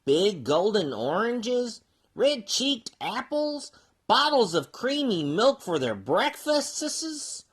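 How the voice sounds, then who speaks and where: A cheerful, folksy mule character acted out by a performer reads a children's storybook aloud. The delivery is slow and sing-song, with pitch that leaps about dramatically from phrase to phrase. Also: very animated, breathy edge, heavily acted. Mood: gentle storytelling.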